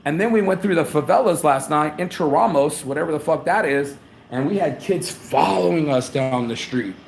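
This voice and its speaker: A man's voice: fairly high pitch, lively intonation, moderate pace, vocal fry, slight background noise. A man from New Orleans giving a blunt, rambling, profane live travel update from a hotel room. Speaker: vlogger. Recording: echoey room with faint ambience.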